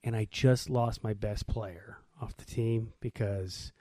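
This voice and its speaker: An American man around his thirties or forties co-hosting a podcast, telling everyday stories in slangy, animated casual chat. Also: fairly low pitch, slow pace, no room sound, studio quality. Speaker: podcast co-host.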